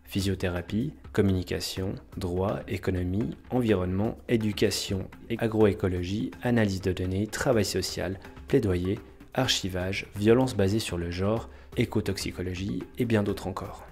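A man giving clear, even scripted narration. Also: deep voice, normal speed, faint music behind.

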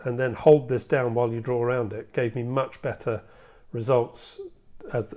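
A man in his sixties presents a hands-on model-making tutorial in a medium-pitched voice. He talks casually and a little hesitantly, explaining his work one step at a time. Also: darkish tone. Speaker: hobby video presenter. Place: indoor hobby room at a workbench.

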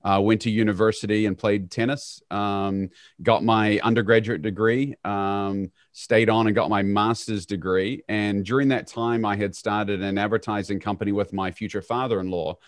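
A fairly low-voiced man, speaking as an interview guest. He talks in a relaxed, easygoing way, with plenty of "um"s. His voice comes through a close studio mic and sounds dry, with no room echo. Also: normal speed. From New Zealand. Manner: relaxed, frequent "um" fillers, self-deprecating. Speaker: guest, real estate CEO. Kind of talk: conversational storytelling interview. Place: small home office, indoors. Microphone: studio mic on boom arm, video call.

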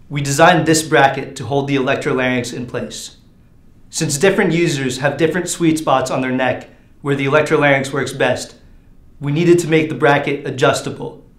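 A young man in his twenties delivers a scripted student project presentation. His voice sits at a middle pitch and his delivery is formal and measured. The room adds clear echo around his words. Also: fairly bright tone. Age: 20s. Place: bare indoor room. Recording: roomy, echoey sound.

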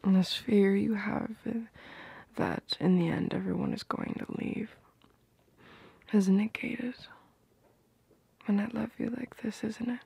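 A woman plays a protective, playful girlfriend in a romantic ASMR roleplay. Her voice is very breathy and whispery, with a creaky edge. She murmurs slowly and tenderly, right up close in a dry space. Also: medium pitch, fairly even intonation, dark tone, no room sound, full character voice. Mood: tender, reassuring. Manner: slow, intimate, tender murmuring. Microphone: close microphone.

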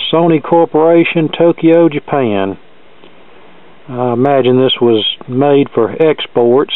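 A man's voice: mid-range voice, no echo, phone-quality sound. A plain-spoken, folksy man casually demonstrating a gadget as host, recorded on a camera's built-in microphone. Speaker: host demonstrating vintage electronics. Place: cluttered indoor workbench.

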